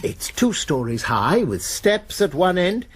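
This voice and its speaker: A man's voice: high voice, very animated, a little slow, slightly rough, heavily acted. A man playing a genial, pompous master of a Cambridge college in a radio drama, with an English accent and a formal, wordy manner. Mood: proudly amused.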